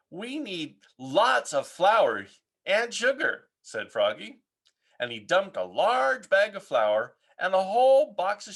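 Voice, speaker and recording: A man reading a children's story aloud as an expressive narrator, playful with his words. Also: fairly high pitch. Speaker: storybook reader.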